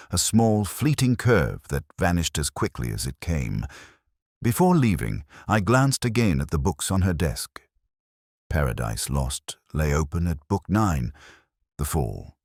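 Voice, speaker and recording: An older man reading a literary first-person detective story in a measured, reflective and solemn manner. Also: rumbling low, no room sound, clean studio sound. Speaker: narrator.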